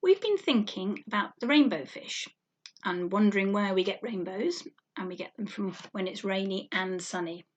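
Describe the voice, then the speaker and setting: A woman reading a picture book aloud to young children, animated and sing-song, drawing out words and asking questions. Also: medium pitch, moderate pace. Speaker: storytime reader for young children.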